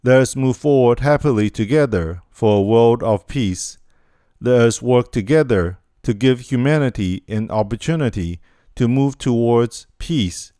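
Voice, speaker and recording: A man's voice: medium pitch, warm tone, no room sound, studio quality. A man giving a formal speech in a solemn tone, closing with blessings. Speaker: event founder giving a speech.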